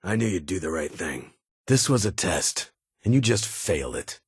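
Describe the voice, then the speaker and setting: An actor playing a stern, guarded military security leader in a video game, speaking formally in clipped, commanding phrases. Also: male voice, low voice, big pitch swings, normal speed, husky, dry recording, clean studio sound, full character voice. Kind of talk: video game character dialogue.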